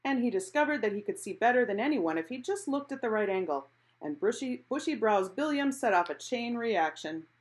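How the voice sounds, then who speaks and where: A woman reading a picture book aloud expressively, in an animated storytelling manner. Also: on the high side, a little fast, poor audio. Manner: animated, acting out characters' exclamations.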